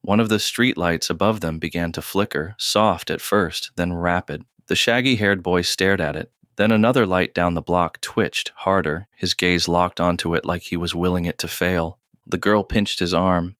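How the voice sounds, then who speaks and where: A man narrates a scripted audio drama in a low, smooth voice. His delivery is measured and terse with very little rise or fall. The sound is close and dry. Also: fairly even intonation, a bit bright, no room sound.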